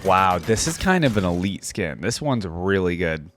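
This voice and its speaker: A man's voice: low-pitched, big pitch swings, moderate pace, soft background music. A man giving casual, enthusiastic reaction commentary as a gaming video host, full of exclamations and slangy phrasing.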